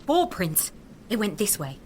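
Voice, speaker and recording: A woman's voice: mid-range voice, huge pitch swings, measured pace, background noise. A woman playing a spirited, poised queen out hunting in an audio drama. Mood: eager.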